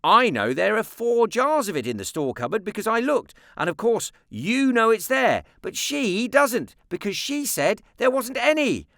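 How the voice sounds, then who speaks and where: A man's voice: high voice, very animated, moderate pace, gravelly, hardly any pauses, fairly bright tone, no echo, studio quality, pitched above the performer's own voice, heavily acted. A man voicing a mischievous little Edwardian boy in a storybook, with a prim, mock-innocent and sly manner. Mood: gleeful, triumphant.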